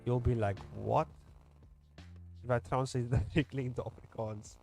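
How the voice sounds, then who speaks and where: A man's voice: medium pitch, fairly even intonation, moderate pace, vocal fry, a little mellow, faint music behind. A young South African man with a South African English accent, chatting casually on a livestream while he draws, rambling with lots of fillers and restarts. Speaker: webcomic streamer.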